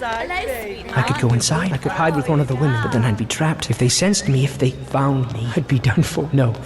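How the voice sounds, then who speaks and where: A woman's voice: a bass voice, big pitch swings, normal speed, loud music behind the voice, full character voice. A frightened young boy on the run. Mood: panicked. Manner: breathless anxious inner monologue, whispering.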